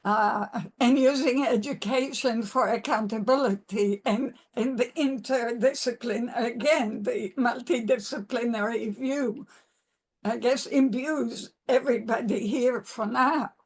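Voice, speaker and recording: Woman moderator, unhurried and slightly high, halting with fillers. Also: husky. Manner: slow, halting, many fillers. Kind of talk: moderating a webinar panel. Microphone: video call.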